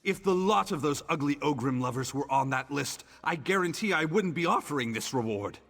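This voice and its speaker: An actor playing a smarmy, flirtatious male merchant in a video game, with a husky, oily delivery. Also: on the high side, animated, a little fast, a bit bright, in a large hall, soft background music, full character voice. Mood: flattering. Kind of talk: video game character dialogue.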